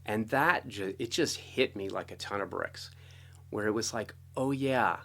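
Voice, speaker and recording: Middle-aged man, American accent, lowish pitch, casual storytelling, animated, chatty interview guest. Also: unhurried, vocal fry. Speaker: interview guest, composer and guitarist.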